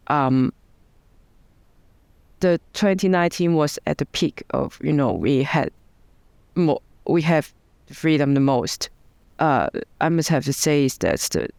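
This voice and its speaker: A woman's voice: low voice, darkish tone, clear, resonant tone, dry recording. A woman with a Hong Kong accent answering interview questions, hesitant, with many ums and restarts. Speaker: guest, democracy advocate.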